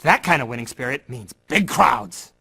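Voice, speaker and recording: A man's voice: fairly high pitch, expressive, normal speed, creaky, sharp tone, husky, exaggerated voice. An actor playing a pushy, self-promoting male otter surf promoter in a game. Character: boastful fast-talking male otter promoter.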